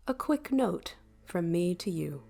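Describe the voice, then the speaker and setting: An actress playing a thoughtful, gentle starship mind in an audio drama, with measured, wistful, formal phrasing. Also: female voice, on the low side, sing-song, gravelly, exaggerated voice. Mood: wistful, solemn. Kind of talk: audio drama narration and dialogue. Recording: music behind the voice.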